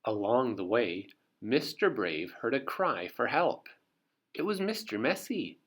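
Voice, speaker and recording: A man narrates a storybook for children. His pitch is mid-range and highly varied. He takes his time with each phrase. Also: big pitch swings, unhurried. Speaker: storybook reader. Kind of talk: animated read-aloud narration for children.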